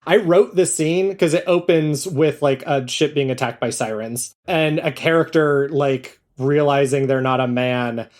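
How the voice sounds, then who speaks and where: A man talking casually as an interview guest, telling stories and quoting thoughts in an animated way. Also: fairly high pitch, unhurried, hardly any pauses. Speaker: interview guest, audio drama creator.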